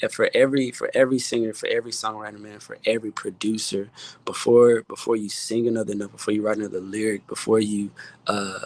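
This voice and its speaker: A man's voice: lowish voice, rough recording. A man, a music producer and guest, talking in an impassioned, preaching style, earnest and emphatic, often repeating his phrases for weight. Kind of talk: impassioned, preaching-like panel chat.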